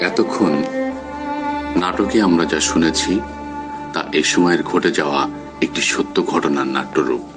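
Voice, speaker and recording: A man's voice: low voice, normal speed, pauses often. A man giving solemn, scripted narration for an audio drama. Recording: music behind the voice.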